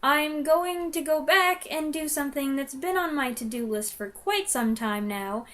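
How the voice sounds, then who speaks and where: A woman reviewer speaks in a fairly high voice. She comments conversationally and gives her opinions freely, slipping in wry asides as she goes. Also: measured pace, fairly bright tone.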